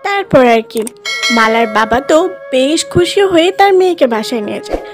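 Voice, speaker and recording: A woman with a high-pitched voice tells a scripted story for children. She narrates as a storyteller, with soft music playing behind her. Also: animated, fairly quick.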